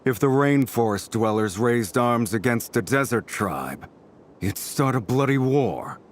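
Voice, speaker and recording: Man acting a slow, ruthless desert chief. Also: medium pitch, animated, a little hiss or hum, close to an everyday voice. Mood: calculating. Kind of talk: video game voice acting. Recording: music under the voice.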